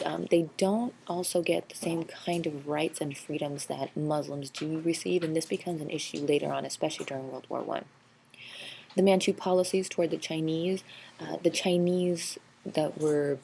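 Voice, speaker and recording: A woman's voice: low voice, vocal fry, a little mellow, a little hiss or hum. A woman teacher narrating a slideshow review lecture, explaining steadily with frequent ums and uhs. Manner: explanatory, filler-heavy with frequent um and uh. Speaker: history teacher.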